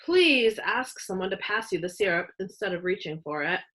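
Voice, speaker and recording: A woman voicing a calm, mildly stern father of young children in a storybook, measured and gently admonishing. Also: mid-range voice, lightly acted.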